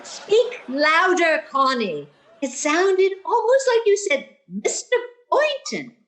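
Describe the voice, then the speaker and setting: Woman as scatterbrained, high-voiced landlady. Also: sing-song, takes it slowly, fairly bright tone, full character voice. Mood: puzzled, fussy. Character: fussy, well-meaning, scatterbrained female landlady. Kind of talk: vintage radio sitcom acting.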